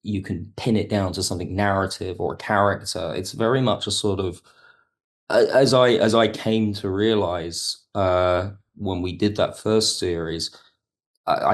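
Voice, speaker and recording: A man with a low-pitched voice talks as a podcast guest. He is reflective and analytical, building explanations step by step and softening his points with careful qualifications. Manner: thoughtful, analytical, hedging. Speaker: podcast guest, audio drama writer. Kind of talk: reflective interview talk.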